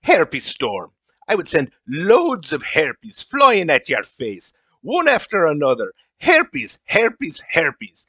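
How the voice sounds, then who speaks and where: A man's voice: on the high side, huge pitch swings, slow pace, fairly bright tone, slightly rough, no room sound, full character voice. A man voicing a vain, indignant elf mage in a comedy, with a foreign accent and a haughty, theatrical delivery. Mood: boastful. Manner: haughty, dramatic.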